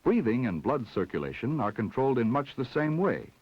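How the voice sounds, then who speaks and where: A man giving measured, scripted narration for an educational film about the brain. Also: medium pitch, very animated, slightly rough. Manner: measured, explanatory. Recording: dull vintage 16mm film soundtrack.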